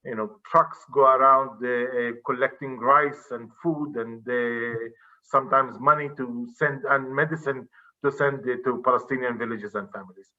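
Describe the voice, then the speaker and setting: A man explains his points in an earnest, measured way, his voice bright and forward. He is speaking over a video call, and the sound comes through dull and lo-fi. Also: medium pitch. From Israel. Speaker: presenter, director of a civic center.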